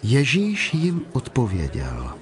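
A man narrating scripture as a measured storyteller from a script. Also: mid-range voice, huge pitch swings, crowd noise. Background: prominent music.

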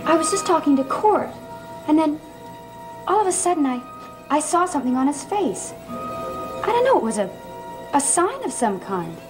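High-voiced, hesitant young woman. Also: very animated, moderate pace, long pauses, poor audio. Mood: puzzled, uneasy. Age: young adult. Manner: hesitant, vague. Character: evasive, unsettled young drifter woman. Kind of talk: television soap opera acting. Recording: vintage TV soundtrack with loud music.

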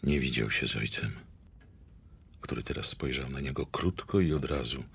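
An actor as a solemn storyteller, reading scripted narration for a brooding audio drama. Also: male voice, rumbling low, normal speed, gravelly, fairly bright tone, slightly rough, full character voice. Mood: somber.

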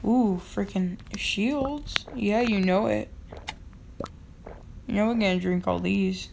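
Excitable young woman narrating gameplay. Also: medium pitch, background noise. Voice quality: slightly raspy. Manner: excitable, yells at other players, quick laughs. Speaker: gaming channel host. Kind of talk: casual gameplay commentary.

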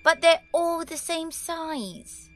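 A woman's voice: very high voice, slow, no room sound, faint music behind. An actress playing a prim, ancient girl in a nine-year-old's body, in an audio drama. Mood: prim, composed. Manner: proper, old-fashioned formal phrasing.

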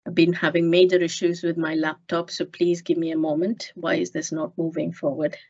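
Woman lecturer, stuffy nose, lowish voice, careful hesitant delivery, dull video call sound. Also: little pitch movement, a little mellow. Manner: measured, many ums and uhs. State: nasal from a cold. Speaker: clinical geneticist giving a talk. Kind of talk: online medical lecture. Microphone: headset on a video call. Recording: muffled video call audio.

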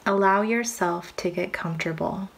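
A woman reading a scripted guided imagery meditation in a calm, soothing, measured manner with long pauses. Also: mid-range voice, creaky, slight background noise.

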